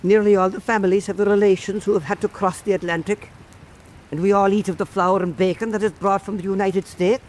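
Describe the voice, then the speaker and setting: An actor playing a friendly, plain-spoken Irish islander in a radio drama, with an Irish accent. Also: male voice, high voice, flat delivery, a little fast, darkish tone, a little hiss or hum, natural voice, poor audio. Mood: earnest.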